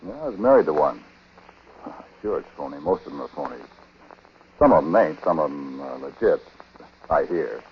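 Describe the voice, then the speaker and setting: An American actor playing a chatty, world-weary husband who confides in the listener in slangy, folksy talk, in 1940s radio drama style. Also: male voice, a bass voice, animated, moderate pace, long pauses. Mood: wry, confiding. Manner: colloquial, folksy, slangy, intimate direct address. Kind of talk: 1940s radio drama acting, confiding first-person narration. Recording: dull, hissy 1940s radio recording.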